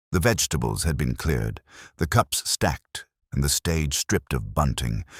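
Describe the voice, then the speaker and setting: Very low male voice, lively intonation, dry close recording, wry scripted mystery narration. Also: no room sound.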